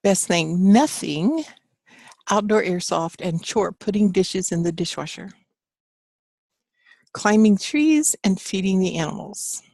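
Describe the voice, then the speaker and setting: A woman's voice: medium pitch, expressive, slow, gravelly, long pauses, darkish tone, dry recording. A woman teaching a live online class from her home office, chatting with students and reading their answers aloud in an encouraging way. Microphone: computer mic on a video call.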